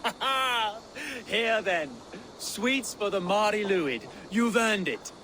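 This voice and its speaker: A hearty village householder in a video game. The voice is high and very animated, with a slow singsong delivery. Clear ambient noise sits behind it. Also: male voice. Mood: jovial. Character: jovial village householder man trading festive rhymes. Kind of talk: video game dialogue acting.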